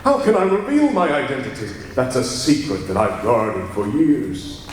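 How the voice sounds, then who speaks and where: A man's voice: medium pitch, sing-song, normal speed, natural voice. Man acting an anxious, stammering radio-drama hero, echoey hall. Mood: troubled. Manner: stammering, agitated. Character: anxious, evasive reporter hiding a secret hero identity. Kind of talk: 1940s radio serial acting performed live. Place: large live convention hall. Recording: echoey hall, distant mic, faint noise.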